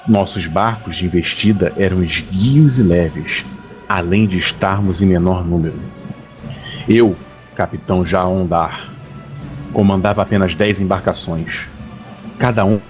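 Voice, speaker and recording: A man playing a grave, weary warrior captain who narrates his expedition in an audio drama, solemn and measured. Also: sits low, darkish tone. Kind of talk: dramatic first-person scripted narration. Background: faint music.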